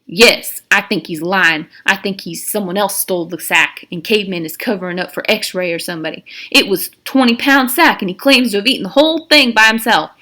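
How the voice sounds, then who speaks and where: A woman's voice: mid-range voice, fairly quick, hardly any pauses, bright, forceful tone, lightly acted. A woman reading aloud the part of a suspicious male camp supervisor in a storybook, blunt and emphatic. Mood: accusing.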